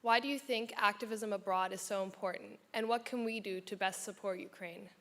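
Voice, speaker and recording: A young woman from Germany, a university student, asks a prepared question in a polite, composed manner. Her voice is bright and clear at a middle pitch. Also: fairly quick. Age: young adult.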